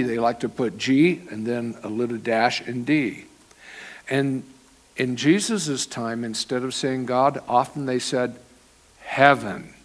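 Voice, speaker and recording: A man preaching a sermon into a microphone, in a conversational teaching manner with loose asides. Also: medium pitch. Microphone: microphone with volume control.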